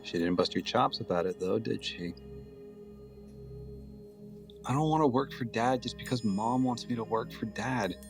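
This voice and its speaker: A man's voice: on the low side, fairly quick, creaky, over music. An actor playing a sarcastic, resentful man in recovery from addiction, blunt and defensive, in an audio drama. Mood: defensive, weary.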